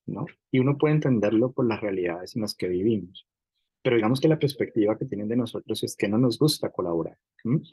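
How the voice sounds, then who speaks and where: A middle-aged man teaches a university class, working through hypothetical cases step by step. His voice sits a little low and carries a husky, breathy edge. The recording is dry and close, with no room around it. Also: darkish tone, no echo, poor audio. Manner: explanatory, builds hypothetical examples, frequent "digamos". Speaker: university lecturer. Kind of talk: academic lecture.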